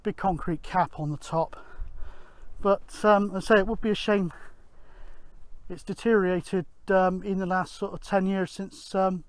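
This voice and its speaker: A middle-aged man gives casual outdoor vlog commentary in a rambling, halting way, thinking aloud. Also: sits high, fairly even intonation, dry recording. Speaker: amateur radio vlogger, presenter. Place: outdoors on an open grassy hillside.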